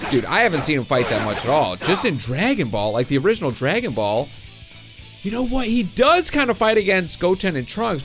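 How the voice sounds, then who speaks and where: A young man hosting a gameplay video, musing uncertainly and chuckling often. He is getting over a cold, so his voice sounds a bit off. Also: fairly high pitch. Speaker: gaming video host. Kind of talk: casual gameplay commentary. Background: game music.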